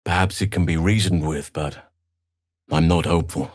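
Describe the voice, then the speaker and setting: An actor playing a big, calm, earnest male elf druid in a fantasy video game, speaking in a measured, gentle, solemn way. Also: very deep voice, no echo, clean studio sound.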